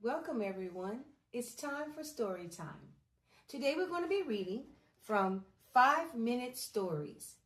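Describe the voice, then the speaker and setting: A woman reading a storybook aloud at bedtime, gentle and expressive, in a measured way. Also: medium pitch, breathy edge, no room sound.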